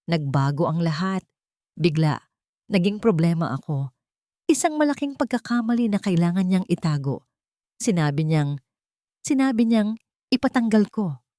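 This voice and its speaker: A woman voicing a hurt, guilt-ridden younger sister who confesses haltingly and pleadingly in an audio love-story drama. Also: on the low side, expressive, warm tone, dry recording, studio quality, natural voice. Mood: hurt, angry.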